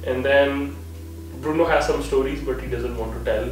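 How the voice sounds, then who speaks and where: A man's voice: mid-range voice, steady tone, normal speed, faint music behind. A young man from Pakistan, telling funny stories to friends in a casual vlog, laughing often and restarting his sentences. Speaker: vlog host. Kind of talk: casual storytelling among friends. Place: living room in a flat, indoors. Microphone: far camera. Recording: very roomy, from a far-off camera mic.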